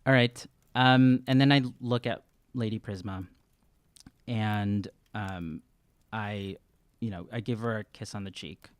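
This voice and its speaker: A man in his thirties plays a tabletop role-playing game, improvising dialogue and description. He has a fairly low voice and an earnest, halting way of talking, recorded close with a dry sound. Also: clean tone, no room sound. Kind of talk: improvised in-character role-play and casual description. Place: small room, indoors. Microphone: close studio microphone on stand.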